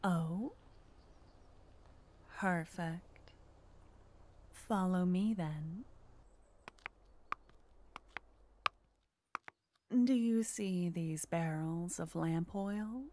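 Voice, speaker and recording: A woman playing an unhinged, flirtatious yandere arsonist in an ASMR roleplay, teasing and conspiratorial in a breathy half-whisper. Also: fairly low pitch, very slow, long pauses, mellow, a little hiss or hum. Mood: bossy, secretive.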